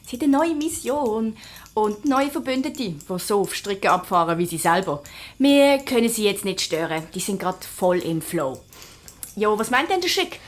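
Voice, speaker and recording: A woman playing a bright, impulsive girl detective with youthful slang in a German children's audio drama. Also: medium pitch, animated, moderate pace, lightly acted. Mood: urging.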